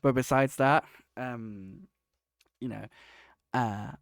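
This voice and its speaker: Male podcast host, casual and meandering, slightly sniffly; crisp voice, dead-dry close mic. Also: medium pitch, takes it slowly, dry recording. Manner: rambling, self-correcting, warmly grateful. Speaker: podcast host and project lead. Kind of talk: casual solo podcast talk.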